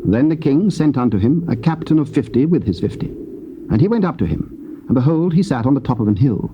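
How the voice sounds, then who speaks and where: A man narrating scripture in a measured, stately manner. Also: medium pitch, lively intonation. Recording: dull tape with hiss.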